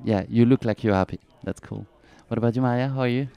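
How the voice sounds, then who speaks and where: A man hosting a podcast interview at a pop-up store, friendly and often pausing on fillers. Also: mid-range voice, gravelly, warm tone, clean tone. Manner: friendly, many filler pauses. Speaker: podcast host. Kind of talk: interview chat. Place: pop-up store at a race event.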